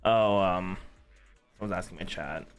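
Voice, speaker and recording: A man's voice: deep voice, unhurried, faint music behind. A man casually talking while he streams a video game to his chat. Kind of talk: casual livestream gameplay chat.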